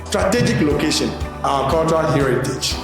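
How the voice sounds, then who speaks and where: A man's voice: a little high, lively intonation, a little fast. Ghanaian man, promo speech, heavy echo, music bed. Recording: very echoey room with music.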